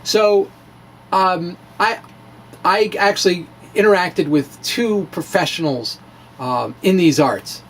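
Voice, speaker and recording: A man giving a dramatic reading of a science blog, halting and theatrical, with informal wry asides. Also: high voice, fairly bright tone, a little hiss or hum, rough recording. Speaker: physics blogger.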